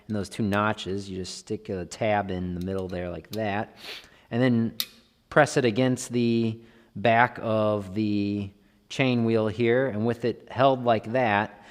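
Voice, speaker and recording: A man giving a casual hands-on tutorial, thinking aloud informally as he works. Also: mid-range voice. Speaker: bike vlogger and host. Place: indoor garage or shed workshop with plywood walls. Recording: reverberant workshop room sound.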